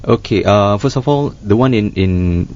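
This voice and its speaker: Halting low-voiced man, dull audio. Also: darkish tone. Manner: halting, many restarts and fillers. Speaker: humanitarian worker guest. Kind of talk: panel discussion, casual. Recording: dull lo-fi studio sound.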